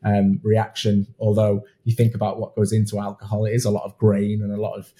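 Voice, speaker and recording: A man's voice: fairly low pitch, monotone, fairly quick, mellow. A man hosting an interview, chatty and affirming, often restarting his sentences. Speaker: podcast host. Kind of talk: conversational interview.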